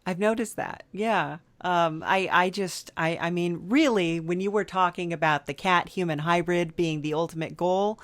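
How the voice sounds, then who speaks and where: A woman hosting a comedy podcast, playing along with a halting, filler-heavy manner. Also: on the low side, dry recording. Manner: hesitant, many fillers, playing along with mock seriousness. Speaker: podcast host. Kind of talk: improvised comedy interview.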